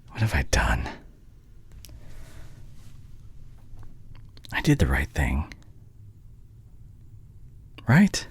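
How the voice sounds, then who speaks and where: A man acting a conflicted doctor and caring ex-boyfriend in an intimate roleplay drama, halting and earnest, with a husky, breathy voice. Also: extremely low pitch, no echo, heavily acted. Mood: regretful. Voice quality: husky, breathy, often dropping to a whisper.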